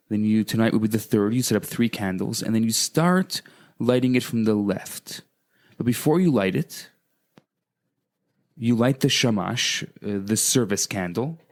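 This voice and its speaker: A man's voice: lowish voice, darkish tone. A man speaking as a rabbi and radio co-host, explaining things to listeners.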